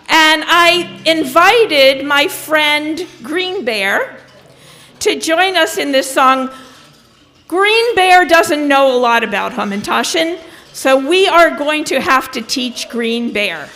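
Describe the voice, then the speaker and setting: A woman's voice: sits high, animated, takes it slowly, bright, forceful tone. A woman leading a children's sing-along at a live event, talking and singing to the group. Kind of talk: animated talk and singing to children. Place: social hall, live event.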